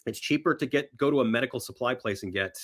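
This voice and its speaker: A middle-aged man with a mid-pitched voice talks as a guest on a film podcast. He speaks casually and tells things in an animated way, often repeating a phrase to drive it home. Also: fast talker. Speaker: podcast guest, horror film screenwriter and actor.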